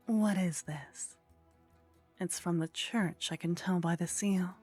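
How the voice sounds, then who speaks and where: A woman playing a haughty, flirtatious vampire in an intimate ASMR roleplay, speaking softly and close to the microphone with a teasing, condescending manner that often drops toward a whisper. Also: on the low side, slow, soft background music. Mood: disdainful, wry. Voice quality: soft, breathy.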